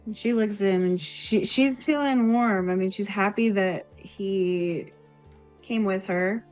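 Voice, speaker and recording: A woman's voice: medium pitch, a little slow, a little mellow, soft background music. A woman improvising narration for her character in a tabletop roleplaying game.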